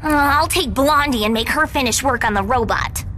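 A woman's voice: high-pitched, normal speed, pushed and bright. A woman voicing a bossy, sarcastic teenage girl villain in a video game.

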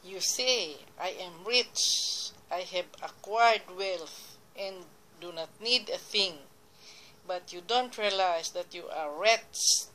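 A woman reading Bible verses aloud and explaining them in a slow, deliberate way, now and then correcting herself, recorded on a phone pointed at a computer screen. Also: on the low side, bright, forceful tone, slight background noise, rough recording. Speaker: lay preacher. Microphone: phone camera filming a computer screen.

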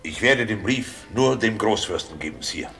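Low-voiced, resolute army courier. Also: male voice, lively intonation, normal speed, pushed and bright, faint music behind. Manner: terse, formal, military. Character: resolute, dutiful male Russian army courier officer. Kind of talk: German radio play acting.

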